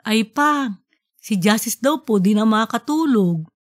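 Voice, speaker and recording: A woman's voice: fairly high pitch, animated, measured pace. A woman voicing an eager, cheeky young schoolboy who talks politely in an audiobook story. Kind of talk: audiobook character dialogue.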